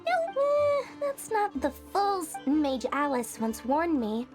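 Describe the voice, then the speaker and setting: High, animated, cheeky little merchant girl. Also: takes it slowly, full character voice. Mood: excited, boastful. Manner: bubbly, theatrical, salesy. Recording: music behind the voice.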